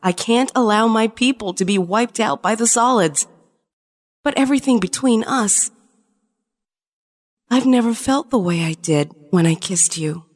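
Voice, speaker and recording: A woman's voice: on the high side, expressive, measured pace, pauses often. A woman playing a shapeshifting alien spy in an audio drama, a conflicted lover pleading her case earnestly. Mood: tender, pleading. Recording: long reverberant hall sound.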